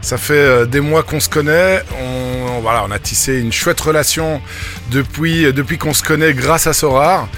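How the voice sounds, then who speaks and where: Man, podcast host, slightly higher voice, eager delivery, hesitant fillers, clear music bed underneath. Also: normal speed, a bit bright. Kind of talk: casual podcast interview.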